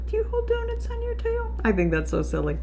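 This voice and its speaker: A woman's voice: very high-pitched, wildly swooping pitch, brisk, warm tone, a little hiss or hum. A woman reading a picture book aloud to young children in a sing-song manner, putting questions to her listeners. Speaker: preschool teacher reading aloud.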